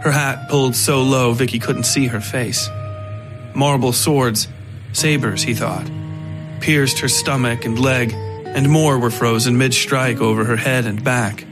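Male narrator for dark fiction podcast, medium register, measured storyteller, music bed. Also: mid-range voice, steady tone. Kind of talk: scripted horror fiction narration.

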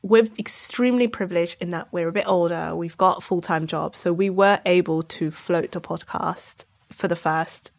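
A woman's voice: on the low side, steady tone, normal speed, creaky, hardly any pauses. A middle-aged woman chatting casually as a podcast guest who produces and directs. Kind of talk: casual chat.

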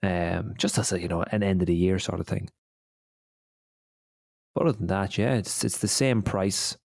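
A man's voice: low-pitched, steady tone, normal speed, gravelly, a little mellow, dry recording. A man hosting a casual podcast, laughing often and rambling through announcements. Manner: giggly, rambling with many restarts. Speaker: podcast host.

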